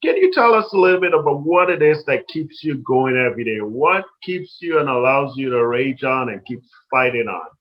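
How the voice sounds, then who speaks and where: Guy doing a polite interview, average pitch, kinda muffled lo-fi recording. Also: animated, moderate pace, hardly any pauses. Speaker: interviewer, host.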